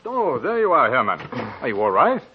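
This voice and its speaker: A man's voice: medium pitch, wildly swooping pitch, normal speed, slight background noise. An actor playing a genial, concerned army officer in a 1940s radio drama, chummy and incredulous in his delivery. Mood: concerned, friendly. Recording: dull, band-limited 1940s radio recording.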